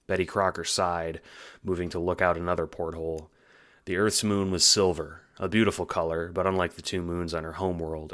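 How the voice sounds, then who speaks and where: A man's voice: low-pitched, a bit bright. A man reading scripted story narration for an audio drama, as its narrator.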